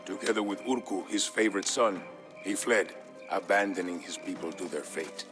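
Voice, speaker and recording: A man narrates a dramatic historical story in a fairly low voice. His delivery is measured and solemn, and music plays softly behind him. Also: steady tone. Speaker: narrator of a game campaign storyline.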